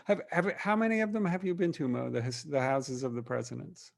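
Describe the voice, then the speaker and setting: An American man hosting a conversational interview, with a wry, halting delivery. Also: fairly high pitch, fairly even intonation, vocal fry. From Reading, Pennsylvania, United States. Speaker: interview show host.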